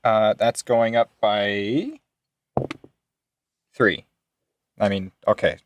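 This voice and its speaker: A man's voice: on the low side, drawn-out words, slight background noise. A man chatting casually as a player in a tabletop role-playing game, reading out his results with some swearing. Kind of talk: casual chat while rolling dice.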